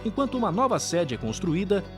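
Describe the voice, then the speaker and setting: Brazilian man narrating with lively intonation over music. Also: high-pitched, fairly quick. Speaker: documentary narrator. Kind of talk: scripted narration.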